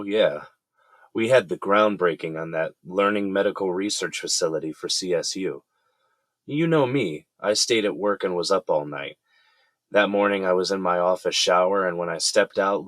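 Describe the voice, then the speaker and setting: Low-voiced, weary man on trial, acted by a man. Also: normal speed, a bit bright, dry recording. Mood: subdued, confiding. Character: weary middle-aged defendant confiding in his lawyer. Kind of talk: audio drama dialogue.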